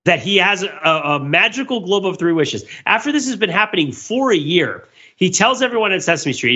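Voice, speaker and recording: Male podcast host, very lively intonation, forceful bright tone, rambling storyteller style. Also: fairly high pitch, slightly rough. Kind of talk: casual chat, humorous pop-culture commentary.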